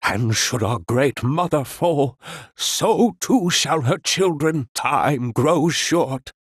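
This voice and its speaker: Game townsfolk zealot: grandiose male prophet of doom, high-pitched, unhurried, theatrical sermon delivery. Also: expressive, breathy edge, no echo, clean studio sound, exaggerated voice. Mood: fervent, mournful. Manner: grandiose, solemn. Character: doom-preaching male zealot lamenting the dying planet.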